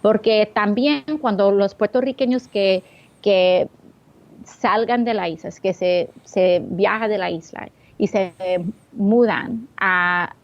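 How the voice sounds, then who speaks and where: A woman's voice: medium pitch, slow, highs cut off. Young Bronx woman searching for Spanish words. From the Bronx, New York. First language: English. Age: young adult. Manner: hesitant, searching for words, frequent restarts. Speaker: interview guest. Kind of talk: interview answers.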